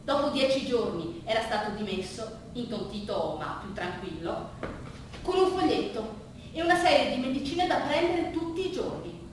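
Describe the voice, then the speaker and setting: A woman performing a dramatic theatrical monologue as narrator, with emphatic repeated phrases and deliberate pauses. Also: fairly high pitch, very animated. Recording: echoey room, distant mic, faint noise.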